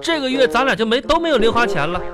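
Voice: medium pitch, sing-song, fast talker, music in the background, natural voice. An actor playing an exasperated, whiny, henpecked father who stammers and repeats himself in a comedy radio sketch. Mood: indignant, whiny. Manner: stammering, repeating words in indignation.

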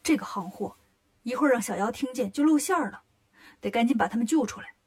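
A woman voicing a cocky, quick-witted male monkey king in a children's story, bossy and colloquial. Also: on the high side, animated, darkish tone. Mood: urgent.

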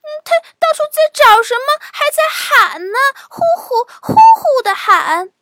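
A woman voicing a small, anxious young mouse in a children's story, whining and pleading excitably. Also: very high voice, a little slow, noisy background, voice pushed up, exaggerated voice. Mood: alarmed.

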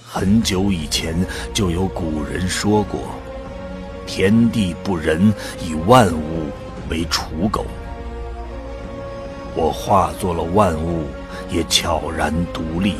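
Man acting a brooding, deep-voiced storyteller. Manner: measured, solemn, reflective. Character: brooding male volunteer telling his own story. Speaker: narrator and lead actor. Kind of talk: scripted first-person drama narration. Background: music.

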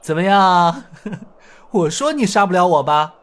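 A man doing a carefree young hero of a martial-arts audio story, lifted high in pitch and full of sing-song swoops. He taunts with a teasing, mocking lilt over faint music. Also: very animated. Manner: mocking, laughing, drawn-out taunts. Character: cocky young male swordsman, playful and mocking.

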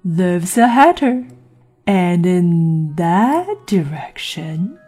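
Sly mad riddling cat, super slow drawl, really raspy n breathy, storybook style. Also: female voice, on the low side, very animated, warm tone, soft background music, exaggerated voice. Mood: slyly amused. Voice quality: husky, breathy. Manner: drawling, deliberate. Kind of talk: audiobook character acting.

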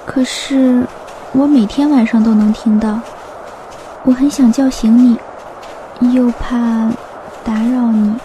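Woman as high-voiced wistful little sock girl. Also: fairly even intonation, takes it slowly, pauses often, mellow, reverberant, slight background noise, low-quality recording. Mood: shy, tender. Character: wistful young female left-foot sock, lovesick and innocent. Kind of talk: Chinese radio drama acting with inner monologue.

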